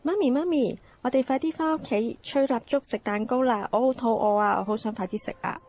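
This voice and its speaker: 興奮細路女，聲音高尖. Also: female voice, on the high side, a little fast, a little mellow. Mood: eager, impatient. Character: excited, hungry little girl, eager for cake. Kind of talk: Cantonese radio drama acting.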